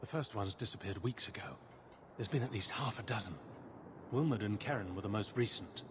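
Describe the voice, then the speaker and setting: Actor as a blunt, defiant knight recruit. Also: male voice, on the low side, fairly quick, background noise, natural voice. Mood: bitter. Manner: blunt, indignant. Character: defiant, disgruntled young male knight recruit. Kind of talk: video game dialogue acting.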